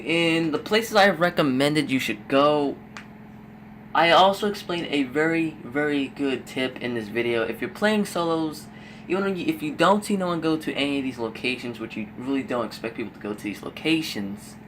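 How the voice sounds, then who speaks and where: A young man with a slightly hoarse, worn voice, rambling through casual gameplay tips with lots of fillers and the odd swear word. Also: fairly high pitch, a little hiss or hum. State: losing his voice, a bit hoarse. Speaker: gaming YouTuber giving tips. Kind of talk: casual gameplay commentary voice-over.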